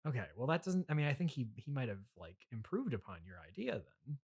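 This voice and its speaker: A man's voice: medium pitch, big pitch swings, fast, creaky, slightly rough. A man hosting a casual comedy interview, speaking in a hesitant, flustered way with frequent stammering. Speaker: podcast host and interviewer.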